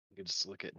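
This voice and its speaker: A woman's voice: low-pitched, darkish tone, husky, no echo. A woman chatting casually on a stream, giggling often and restarting her sentences, on a dull lo-fi mic. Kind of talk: casual chat.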